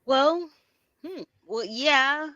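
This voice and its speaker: A woman's voice: high voice, drawn-out words, bright, forceful tone, no echo, muffled recording. A woman raised in America by Trinidadian parents, chatting casually on a podcast, laughing often and restarting her sentences. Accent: American, with Trinidadian lilt at times. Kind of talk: casual conversation.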